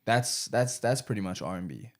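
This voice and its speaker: A man's voice: lowish voice, expressive. A young man, a rapper chatting casually in an interview, laid-back and slangy. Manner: laid-back, slangy, says "bro" often, quick laughs. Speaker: rapper and producer guest.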